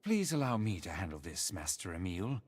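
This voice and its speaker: An actor playing a devoted butler in a video game, formal and deferential. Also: male voice, deep voice, sing-song, fairly quick, husky, heavily acted. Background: faint game music.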